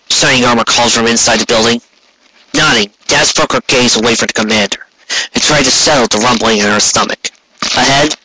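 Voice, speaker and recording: A man's voice: mid-range voice, bright, forceful tone. Man narrating a story, noisy recording. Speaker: audiobook reader. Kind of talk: scripted fan fiction narration. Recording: clearly noisy amateur recording with clicks.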